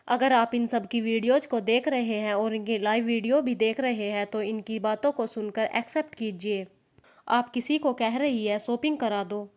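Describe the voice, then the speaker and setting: A woman's voice: on the high side, a little mellow. A woman narrating scripted social media commentary, addressing viewers in an opinionated, admonishing way. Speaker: narrator of a social media gossip news channel.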